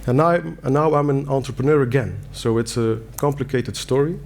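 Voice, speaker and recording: A man's voice: medium pitch, brisk, vocal fry, darkish tone. Belgian man giving a conference talk. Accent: Belgian Dutch. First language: Dutch. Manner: earnest, plain wording with fillers and restarts. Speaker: conference speaker. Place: conference hall.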